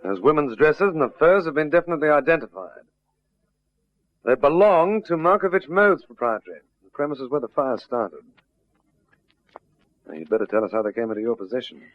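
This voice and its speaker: An actor playing a calm, firm detective sergeant, measured, dryly courteous and politely insistent, in an old radio drama. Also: male voice, a little high, no echo, close to an everyday voice. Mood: interrogating. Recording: dull, noisy old radio drama recording.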